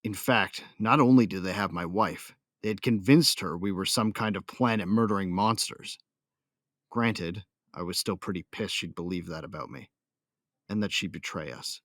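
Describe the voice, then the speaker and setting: A man's voice: low-pitched, normal speed, a bit bright, no room sound, studio quality. A man playing a hot-tempered warrior who narrates his own battles in an audio drama, intense and sardonic. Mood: bitter, wry.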